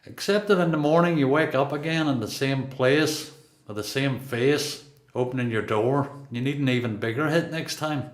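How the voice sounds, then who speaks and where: An actor with a Northern Irish accent playing a seasoned, quietly defiant long-term prisoner in a radio play. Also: male voice, mid-range voice, moderate pace, soft background music, lightly acted. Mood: world-weary. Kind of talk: radio play dialogue and narration. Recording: echoey room.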